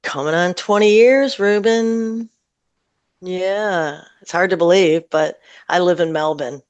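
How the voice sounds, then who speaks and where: A woman's voice: fairly low pitch, takes it slowly, clean tone, dry recording, dull audio. A woman with a Midwestern American accent, chatting casually as a singer-songwriter guest in an interview, thinking aloud in loose, unfinished sentences. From northern Michigan, USA. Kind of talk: casual radio interview chat.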